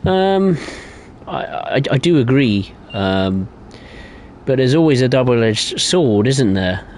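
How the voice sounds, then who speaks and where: A man's voice: mid-range voice, expressive, slow, darkish tone, a little hiss or hum. A young man streaming a game, chatting casually and rambling with lots of hesitations while giving his opinions. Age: young adult. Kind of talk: casual live-stream chat.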